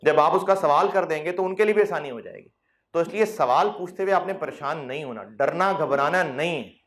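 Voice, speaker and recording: Man, slightly high voice, classroom lecture, chatty and coaxing. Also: lively intonation, fairly quick, a bit bright. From Pakistan. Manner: conversational, coaxing, teasing with mock quotes. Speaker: accounting lecturer. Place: classroom with students present.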